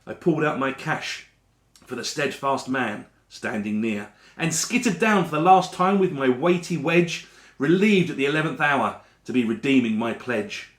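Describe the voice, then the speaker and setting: A man performing a rhyming dramatic monologue in a theatrical, deliberate storytelling manner. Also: medium pitch. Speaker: actor reading a monologue. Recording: roomy echo around the voice.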